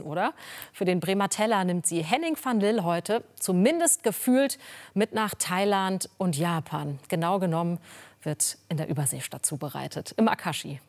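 A woman presents a TV segment in a lively, conversational tone. Her voice sits at a mid pitch. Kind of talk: studio moderation.

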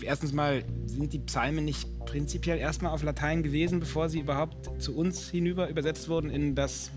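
A man's voice: a little high, steady tone, fairly quick, over music. A man raised between Austria and Germany, a quiz contestant and actor, musing aloud in a hesitant, self-deprecating way. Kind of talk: casual chat.

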